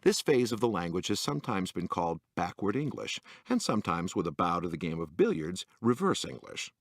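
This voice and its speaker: Man, deep voice, very lively pitch, wry narration, dry close sound. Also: fairly quick, dry recording. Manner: dry, wry delivery that lifts into quoted voices. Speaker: narrator reading a magazine essay aloud. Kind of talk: scripted narration.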